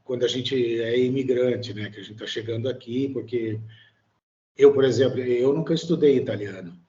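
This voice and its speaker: A man's voice: mid-range voice, normal speed, mellow, lo-fi sound. A Brazilian man, a guest in a casual interview, talking hesitantly with many fillers and tag questions. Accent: Brazilian Portuguese. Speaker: guest, immigrant living in Italy.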